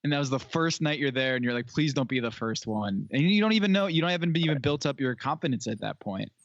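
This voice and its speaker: A man's voice: mid-range voice, lively intonation, fast, no room sound, lo-fi sound. A man co-hosting a podcast, asking long, thoughtful questions of his guests. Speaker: podcast co-host. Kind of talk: casual podcast interview.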